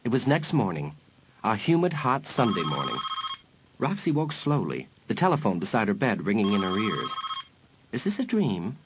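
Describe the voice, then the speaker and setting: A man narrating a suspenseful dramatised audiobook in a measured way with dramatic pauses. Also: on the low side, wildly swooping pitch, background noise. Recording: loud music behind.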